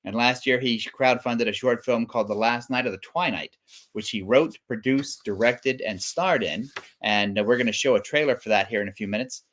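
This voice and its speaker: A man's voice: medium pitch, moderate pace, a bit bright, slight background noise. A man guest-hosting a live comics stream in a casual style, with wry, self-deprecating asides.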